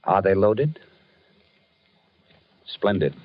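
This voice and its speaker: Husky old-radio gentleman, dry and close, very slow and swinging in pitch. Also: sing-song, dry recording, heavily acted. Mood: dreamy, formal. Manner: formal, polite, measured sleep-talk. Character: gentleman calmly preparing for a duel in his sleep. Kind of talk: 1940s radio acting. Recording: dull vintage radio recording.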